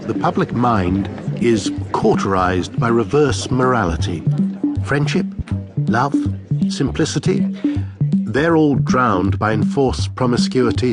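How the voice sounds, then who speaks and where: A man acting in a radio drama as a bitter, alienated dissident, giving an intense inner monologue. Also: medium pitch. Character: bitter, alienated male dissident brooding inwardly.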